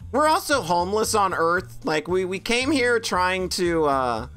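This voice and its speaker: A woman's voice: on the low side, big pitch swings, measured pace, a bit bright, faint music behind. A woman streaming a game, chatting with viewers in a self-deprecating joking way. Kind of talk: casual game stream chat.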